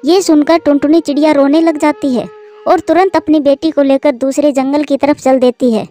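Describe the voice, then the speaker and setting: A woman's voice: high-pitched, fairly even intonation, normal speed, dark tone, soft background music. A woman reading scripted narration for a children's story.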